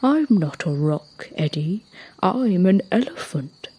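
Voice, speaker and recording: Woman playing a slow, booming, gentle elephant. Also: sing-song, slightly rough, full character voice. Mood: proud, explaining. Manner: slow, reassuring, booming delivery. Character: huge, gentle, courteous male elephant. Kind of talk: children's storybook character voice.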